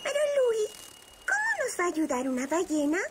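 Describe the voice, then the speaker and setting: A woman voicing a tiny, curious, excitable ladybug in a children's cartoon, squeaky and eager. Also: moderate pace, very noisy. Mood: anxious, eager.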